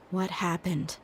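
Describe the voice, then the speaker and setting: A woman playing a blunt, guarded young desert warrior in a video game, speaking in a measured way. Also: on the low side, noisy background. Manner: blunt, measured, halting when unsure.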